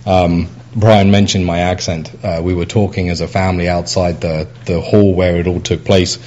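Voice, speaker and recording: A man's voice: deep voice, flat delivery, normal speed, a little mellow, dull audio. English man preaching a casual sermon. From England. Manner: conversational with frequent fillers and restarts. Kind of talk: sermon with personal anecdotes.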